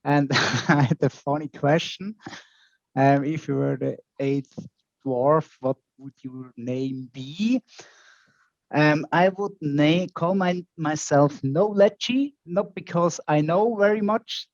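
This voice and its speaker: A man's voice: fairly high pitch, takes it slowly, dry recording. A man with a Swiss accent introducing himself casually in a meeting as a consultant and trainer. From Switzerland. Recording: dull, lo-fi online call audio.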